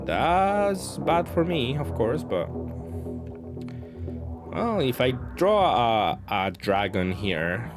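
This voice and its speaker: Male gameplay commentator, medium pitch, casual and hesitant, reasoning through moves over clearly audible music. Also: very animated, very slow. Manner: hesitant, thinking aloud. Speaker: card game player commentating on own matches.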